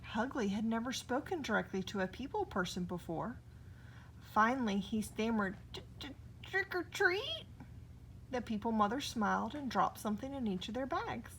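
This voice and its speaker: A woman's voice: on the high side, a little fast, fairly bright tone, poor audio. A woman narrating a children's story expressively, recorded with noise behind her. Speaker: storybook reader.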